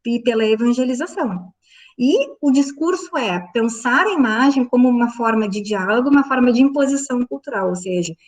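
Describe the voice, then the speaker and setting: Woman, university lecturer; higher voice, halting delivery full of fillers, mic set back a bit. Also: distant mic. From southern Brazil. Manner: halting, many fillers, checks in with the audience. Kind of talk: academic lecture.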